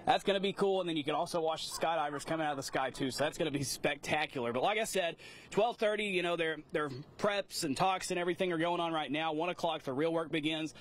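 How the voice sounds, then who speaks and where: A man's voice: on the high side, quick pace, a bit bright. A young man giving an upbeat, rambling live TV field report outdoors at a small airport, talking into a handheld mic. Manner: upbeat, rambling, repeats "cool".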